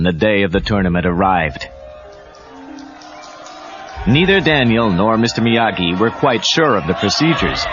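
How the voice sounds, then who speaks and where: A man narrating a read-along audio story in a dramatic scripted style. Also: medium pitch. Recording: muffled cassette, music and crowd behind.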